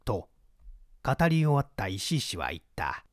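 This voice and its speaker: A man reading a classic mystery story aloud as narrator, measured with dramatic asides. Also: fairly low pitch, very animated, dry recording, studio quality. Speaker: reader.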